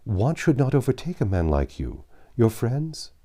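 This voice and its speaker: A man voicing a gentle, devoted young noblewoman in an audiobook, tender, pleading and courteous. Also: medium pitch, big pitch swings, measured pace, pitched above the performer's own voice. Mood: concerned.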